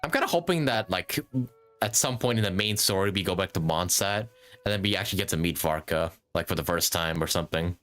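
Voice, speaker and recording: A man's voice: low voice, fairly quick, fairly bright tone, faint music behind. A man doing casual, informal live commentary as he streams a video game. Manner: informal, swearing, chatty. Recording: faint noise behind.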